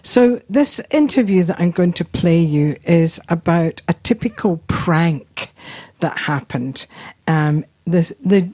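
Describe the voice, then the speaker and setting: A middle-aged woman hosting a radio show, her accent a blend of Scottish and New Zealand. She chats in a casual, friendly way, with plenty of fillers. Her voice sits low and mellow. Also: expressive, slow pace, dry recording. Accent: Scottish and New Zealand mix. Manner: chatty, friendly announcements with fillers. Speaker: radio host.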